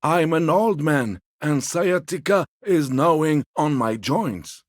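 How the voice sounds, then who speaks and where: An elderly, obliging video game character with a fairly high, husky voice. He takes his time and swings widely in pitch, always mild, deferential and a little evasive. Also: lively intonation, slow, dry recording, studio quality. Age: older. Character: elderly, meek, polite, evasive old man.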